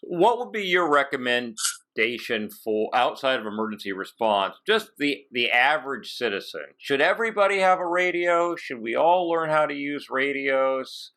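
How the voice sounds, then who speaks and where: A man's voice: medium pitch, expressive, moderate pace, bright, forceful tone, poor audio. A man hosting a casual interview, chatting and asking questions. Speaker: interviewer, show host.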